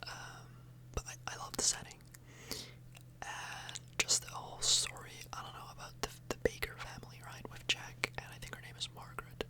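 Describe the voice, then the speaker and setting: A woman speaking in a breathy, unvoiced whisper close to the microphone, rambling informally and a little hesitantly in an ASMR style. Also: normal speed, low-quality recording. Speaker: ASMR video host.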